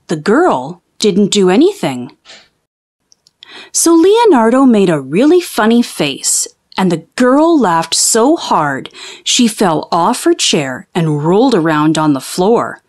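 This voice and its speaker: Slow, animated woman storyteller. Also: mid-range voice. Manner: expressive, deliberate. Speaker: children's book reader. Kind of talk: storybook read-aloud narration.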